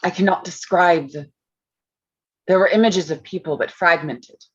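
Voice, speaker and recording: A woman playing a troubled young woman novelist in a gothic drama reading, with a halting, dramatic delivery. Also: on the low side, slightly rough, dull audio. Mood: shaken.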